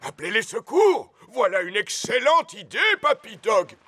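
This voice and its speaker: A man's voice: high-pitched, big pitch swings, normal speed, sharp tone, no room sound, exaggerated voice. An actor voicing a boastful, greedy old male rabbit in a cartoon, hearty and bluff. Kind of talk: cartoon voice acting.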